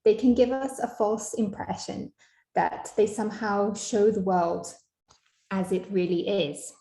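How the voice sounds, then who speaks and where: A young Scottish woman giving an online academic lecture over a video call, measured, with frequent ums. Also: medium pitch, steady tone, creaky, mellow, echoey room, poor audio. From Scotland.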